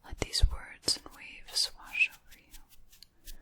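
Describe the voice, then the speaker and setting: A woman voicing a sinister, mock-courteous dark witch for an ASMR roleplay, in a breathy whisper with theatrical, formal wording. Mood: incantatory. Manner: slow, theatrical, formal old-fashioned wording.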